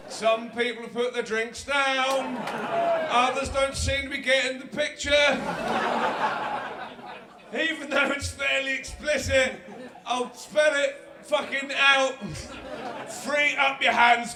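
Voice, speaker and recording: A man doing a stand-up set in a comedy club, sardonic and sweary, shouting punchlines at the crowd on a handheld stage mic. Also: extremely high pitch. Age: 30s. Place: dark comedy club stage with live audience.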